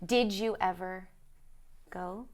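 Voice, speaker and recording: A woman's voice: mid-range voice, steady tone, slow. A woman chatting casually on a talk show in an informal, emphatic way.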